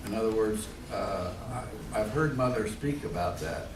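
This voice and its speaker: An older man with an American accent, recalling family history in a casual, halting and tentative way. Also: lowish voice, expressive. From Ohio, United States. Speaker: family elder answering questions. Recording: distant mic, echoey room, noisy.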